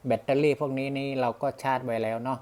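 A man's voice: medium pitch, little pitch movement, quick pace, darkish tone. A man giving a casual, unscripted product demonstration as a vlogger, rambling and self-deprecating as he often addresses his viewers. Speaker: vlogger demonstrating motorcycle gear. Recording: clear ambient background noise.

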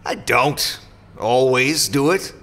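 An actor playing a boastful, touchy freelance mercenary in a science-fiction audio drama, speaking in a blunt, defensive way. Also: male voice, medium pitch, fairly even intonation, slow, pushed and bright.

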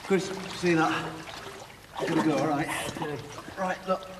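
A man calls out urgently in a high, raised voice. The sound rings with long cave reverb, with water and clear noise around him. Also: fairly even intonation, moderate pace. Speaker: rescuer. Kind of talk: urgent calling out during a rescue. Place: inside a cave.

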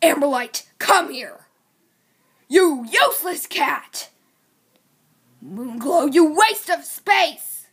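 A woman voicing a very grumpy old male cat, shouting scornful insults in an amateur audition. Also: high-pitched, expressive, extremely slow, pauses often, pitched above the performer's own voice, full character voice. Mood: angry, scolding.

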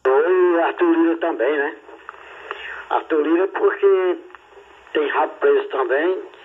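A man's voice: fairly high pitch, slow pace. A man speaking emphatically on a ham radio chat. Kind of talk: casual chat over two-way radio. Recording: tinny radio with faint hiss.

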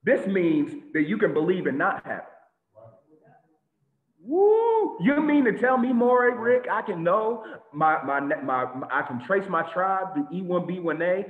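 A man preaching an animated sermon to a congregation over a video call, with lots of repetition and sudden shouts. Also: sits high, unhurried. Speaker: teacher of a congregation. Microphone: video call webcam. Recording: tinny phone-like echoey audio.

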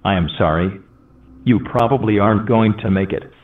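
A synthesized male text-to-speech voice reading automated phone menu prompts in a deadpan robotic manner. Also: on the low side, normal speed, breathy edge. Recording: tinny narrow phone line audio.

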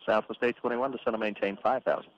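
Brisk male controller over radio. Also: fairly low pitch, fairly even intonation. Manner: brisk, procedural, with filler pauses. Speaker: air traffic controller. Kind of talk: radio phraseology. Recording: muffled radio transmission with hiss.

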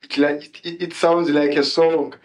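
A man's voice: a little high, flat delivery, moderate pace, darkish tone. A young man with a South African accent introducing himself to an audience. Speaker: member of a drumming group. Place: function room, on stage before diners. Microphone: handheld microphone.

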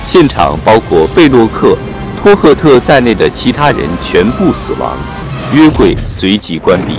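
A man reading a scripted film plot summary as a narrator. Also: lowish voice.

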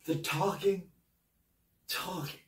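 An actor playing an indignant young painter's assistant in an impassioned, scornful stage monologue, moving dramatically between loud and hushed delivery. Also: male voice, high voice, fairly even intonation, slow. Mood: exasperated outburst. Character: indignant young male painter's assistant berating his mentor. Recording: roomy echo, distant microphone.

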